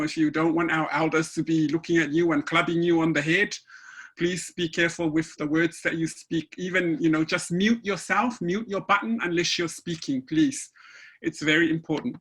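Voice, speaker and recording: A woman's voice: deep voice, fairly even intonation, moderate pace, a bit bright, slightly rough. A woman from Samoa moderating an online seminar panel, emotional and speaking with many fillers, over a video call.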